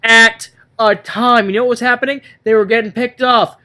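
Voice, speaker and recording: A man's voice: very high voice, little pitch movement, moderate pace, pushed and bright, soft background music. A man co-hosting a casual movie-review chat, talking in an exasperated, ranting, sweary way. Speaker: podcast co-host.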